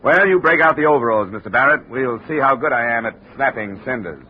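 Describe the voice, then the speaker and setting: An actor playing a wry, clipped American insurance investigator in a 1950s radio detective drama, on a vintage radio recording. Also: male voice, mid-range voice, animated, a little fast, a little hiss or hum, natural voice. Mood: wry, businesslike. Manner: wry, clipped, matter-of-fact. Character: hardboiled wisecracking male American insurance investigator. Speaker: lead actor narrating as investigator. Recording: tinny vintage radio recording, music behind.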